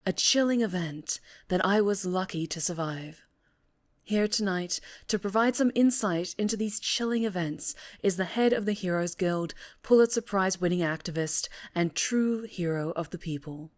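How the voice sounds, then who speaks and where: A woman's voice: on the low side, moderate pace, lightly acted. A woman playing a composed, solemn TV news anchor in an audio drama, speaking formally with dramatic emphasis. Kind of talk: scripted news broadcast acting in audio drama.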